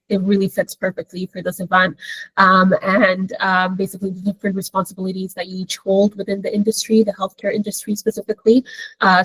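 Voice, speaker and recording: A woman's voice: mid-range voice, a bit bright, no room sound, rough recording. A woman moderating a webinar panel over a video call, friendly and meandering with many fillers.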